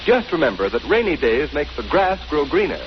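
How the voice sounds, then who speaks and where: An American male announcer reads in 1930s radio advertising style. His high voice is emphatic and polished, pitched to sell. The old recording sounds dull, with faint noise. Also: sing-song, a little fast.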